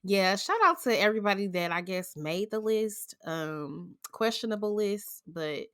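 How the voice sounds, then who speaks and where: Woman, podcast co-host, slow delivery, bright tense voice, blunt slangy chat. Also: medium pitch, smooth. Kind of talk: casual chat.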